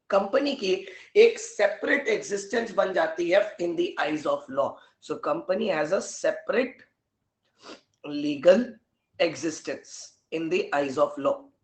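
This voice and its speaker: A man teaching a live online law class with a hoarse, sore throat, often clearing it and mixing in English terms. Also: high voice, echoey room.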